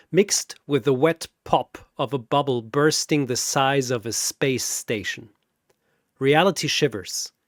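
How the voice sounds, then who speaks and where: Theatrical male narrator, slow and very animated. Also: a little high, no echo, clean studio sound. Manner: dramatic, deliberate, wry. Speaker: narrator and announcer. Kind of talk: theatrical scripted comic narration of a fantasy battle show.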